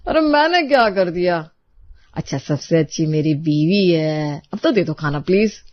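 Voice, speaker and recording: A woman voicing a long-suffering, mildly exasperated husband in an animated family drama. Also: on the low side, unhurried, no echo, muffled recording. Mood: pleading.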